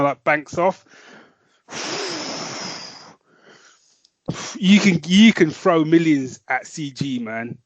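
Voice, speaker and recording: A man's voice: on the high side, no room sound. A man co-hosting a podcast, chatting with rambling enthusiasm and a lot of "man". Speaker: podcast co-host. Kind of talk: casual chat.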